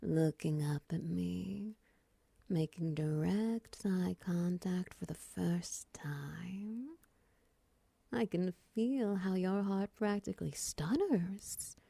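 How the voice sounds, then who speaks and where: A seductive, doting spider woman played by a female voice actor for a romance audio roleplay. Her voice is very dark and soft, lowish and breathy, slipping often toward a whisper. She coaxes slowly and teasingly, right up close on a dry mic. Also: dry recording, full character voice. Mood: flirty, teasing. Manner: slow, coaxing, teasing endearments. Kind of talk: intimate ASMR-style romance audio roleplay.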